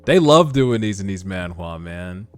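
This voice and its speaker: Male host, low voice, excitable and slangy reaction commentary, light music bed underneath. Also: measured pace. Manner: casual, slangy, profane, excitable. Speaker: host of a manhwa read-along. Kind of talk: animated reaction commentary between read-aloud passages.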